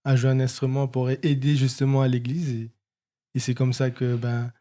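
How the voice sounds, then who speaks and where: A male guitarist from French Guiana, telling his story calmly and without hurry in a radio studio chat. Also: medium pitch, moderate pace. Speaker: guitarist guest. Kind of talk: casual interview chat.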